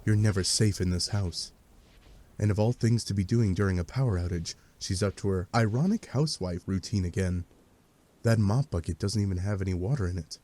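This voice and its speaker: A man's voice: sits low, a little fast, warm tone. A man narrating a scripted second-person story with a wry, dramatic storytelling manner, over faint hiss.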